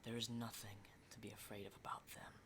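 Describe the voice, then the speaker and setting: A man playing an unsettling, lonely, hardened apocalypse survivor in an ASMR roleplay, speaking in a halting, breathy whisper and soft voice. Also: on the low side, little pitch movement, background noise, lightly acted. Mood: fearless, reassuring. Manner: hushed, halting, repeats words, mild swearing.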